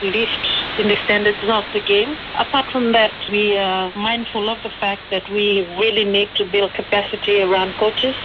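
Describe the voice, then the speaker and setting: A woman's voice: mid-range voice, fairly even intonation, normal speed, few pauses. A woman from Fiji, a sports official, giving explanations in a radio interview. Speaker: interviewed sports association president. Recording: very noisy, dull shortwave radio broadcast. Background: loud hiss.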